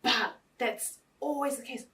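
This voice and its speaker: A woman's voice: a little high, steady tone, unhurried, pushed and bright, far from the mic. New woman host, off-mic. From New Zealand. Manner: frequent backchannels, restarts when building a point. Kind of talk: studio discussion. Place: TV studio.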